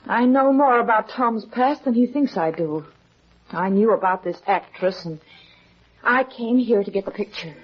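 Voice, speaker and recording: An actress playing a suspicious, determined young fiancée in a 1950s radio drama, earnest and insistent. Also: female voice, a little high, slightly rough. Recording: muffled 1950s radio recording.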